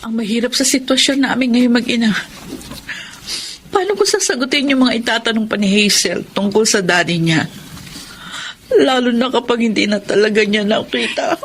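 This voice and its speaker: A woman playing a caring, troubled mother with a gentle, hesitant manner in a Filipino radio drama. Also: a little high, moderate pace, slight background noise, lightly acted. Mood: worried. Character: caring, troubled young mother hiding worries.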